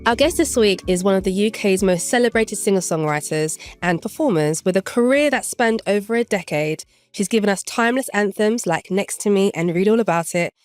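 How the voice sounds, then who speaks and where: A woman with a mid-range voice reads a guest introduction in a measured, formal way. The recording is dry and close. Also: mid-range voice, dry recording. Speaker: podcast co-host.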